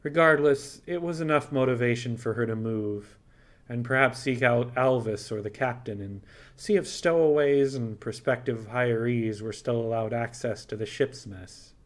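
A man's voice: mid-range voice, moderate pace. Male narrator reading science fiction. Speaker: audiobook narrator. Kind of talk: scripted fiction narration with character voices.

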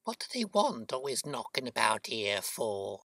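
A woman's voice: rumbling low, very animated, unhurried, a bit bright, no room sound, pitched above the performer's own voice, exaggerated voice. A man voicing a worried, talkative working-class London boatman's wife, chatty and deferential, in an audiobook story. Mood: anxious.

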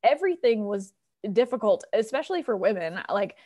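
A woman's voice: mid-range voice, big pitch swings, brisk, warm tone. An American woman in her thirties chatting informally as a guest in a casual video-call interview. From Colorado, United States. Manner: chatty, informal, many likes. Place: living room, indoors. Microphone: wired earbuds on a video call.

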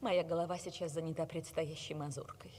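A woman playing a morbid, composed cartoon wife and mother with a dry, deadpan manner. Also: deep voice, a little fast, a little hiss or hum, natural voice. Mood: cool deadpan. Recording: loud music underneath.

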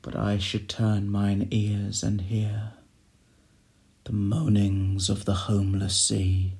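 A man reciting classic verse in a solemn, measured and expressive dramatic style. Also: lowish voice, creaky. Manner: solemn, measured, expressive delivery with hushed line endings. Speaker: poetry reader.

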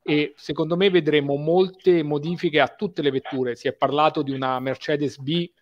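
A man's voice: a little high, fairly even intonation, normal speed. Brief: male technical commentator, thirties, methodical and explanatory, lo-fi headset audio. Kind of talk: video call interview. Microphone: headset mic.

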